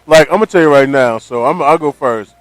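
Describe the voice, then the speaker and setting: A man's voice: a little high, a little fast, no echo, soft background music. A man co-hosting a radio show, chatting casually and joking, often repeating himself.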